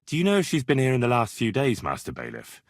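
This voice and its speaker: A man voicing an earnest, bold young man in a medieval video game, with a slightly husky voice and a plain-spoken, conversational delivery. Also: mid-range voice, huge pitch swings, fast, no echo, studio quality. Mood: concerned, questioning. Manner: conversational, earnest, assertive when challenged. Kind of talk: game dialogue voice lines.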